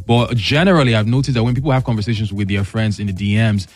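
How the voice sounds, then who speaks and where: A man's voice: fairly low pitch, steady tone, fast talker. A young man, co-hosting a radio show and joking around with his partner. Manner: jokey, mock-threatening, laughs a lot. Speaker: radio co-host.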